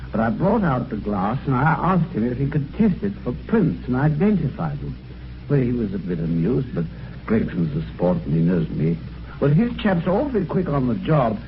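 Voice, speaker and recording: A man's voice: fairly high pitch, a little hiss or hum. An actor playing a well-spoken British amateur sleuth, telling his story conversationally in a radio mystery. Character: genteel British amateur sleuth recounting his eerie adventure. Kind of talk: 1950s radio mystery acting, first-person narration. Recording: dull old radio recording, music behind.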